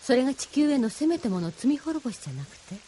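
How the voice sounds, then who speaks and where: A woman's voice: high voice, big pitch swings, fast, dark tone, a little hiss or hum. A woman playing an idealistic, solemnly persuasive mentor in a Japanese audio drama, with a didactic, feminine way of speaking.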